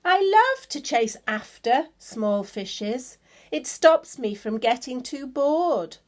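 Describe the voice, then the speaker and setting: Woman reading as a lively, boastful swordfish. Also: sits high, slow pace, full character voice. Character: bored, boastful swordfish who hunts small fishes.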